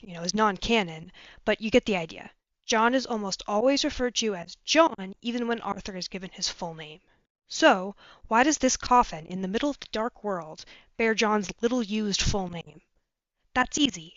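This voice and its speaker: A woman reading out a prepared listener message, making her argument in a measured, orderly way. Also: medium pitch, lively intonation, fairly bright tone, dry recording. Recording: dry, slightly dull voice message.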